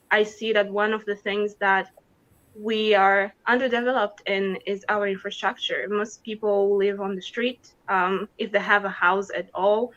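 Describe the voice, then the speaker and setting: A woman's voice: mid-range voice, sharp tone. A woman from the Congo, a pageant contestant, speaking earnestly and passionately about her goals. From Democratic Republic of the Congo. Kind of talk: interview answers over video call. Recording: dull lo-fi call audio.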